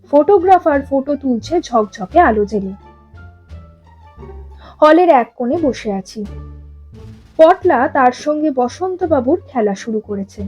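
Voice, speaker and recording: High-voiced woman telling a story. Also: a little mellow, breathy edge. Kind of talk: expressive scripted story narration.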